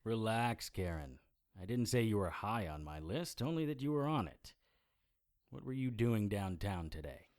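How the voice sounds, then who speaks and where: An actor playing a wry, hard-boiled supernatural investigator in an audio drama, with deadpan, sardonic noir delivery. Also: male voice, fairly low pitch, normal speed. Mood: teasing, dry.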